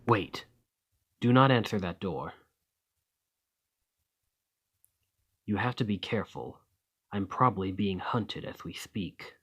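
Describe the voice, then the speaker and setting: A man playing a desperate, weary immortal gentleman vampire in an ASMR roleplay, speaking formally and courteously in a breathy, husky voice that often drops to a whisper. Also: lowish voice, expressive, normal speed. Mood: urgent, cautious. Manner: formal, courtly, old-fashioned phrasing.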